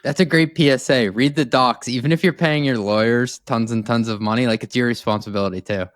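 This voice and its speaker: A man's voice: medium pitch, brisk, no echo, clean studio sound. A man hosting a podcast, asking curious, conversational questions of his guest. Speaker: podcast host. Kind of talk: interview conversation.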